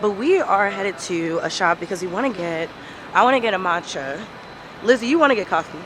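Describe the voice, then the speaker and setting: A woman's voice: mid-range voice, expressive, brisk, noisy background. Stuffy-nosed woman chatting to camera. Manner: chatty, informal, addresses viewers as y'all. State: stuffy, congested from a cold. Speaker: vlogger host. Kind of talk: casual vlog chat to camera.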